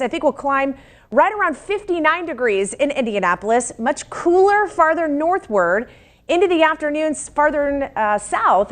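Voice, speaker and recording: An American woman with a fairly high voice works as a TV news anchor. She delivers headlines and forecasts in a live broadcast reading style.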